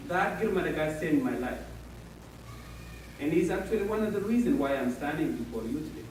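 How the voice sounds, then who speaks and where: A man with an Ethiopian accent telling a personal story in a public speech, with halting, non-native phrasing. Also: fairly high pitch, lively intonation, darkish tone. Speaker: speaker at a community meeting. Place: large echoey meeting hall. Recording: echoey hall, mic far off, faint hiss.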